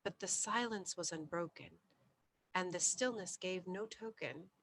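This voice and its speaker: A woman storyteller giving a deliberate, theatrical bedtime reading of gothic poetry, sometimes hushed. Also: fairly low pitch, no room sound, low-quality recording.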